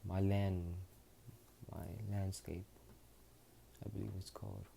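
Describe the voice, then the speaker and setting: A man's voice: deep voice, level tone, slow pace, creaky, mellow, a little hiss or hum, full character voice. A man voicing a timid, hurt teenage boy who stammers and whines. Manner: hesitant, stammering, whiny.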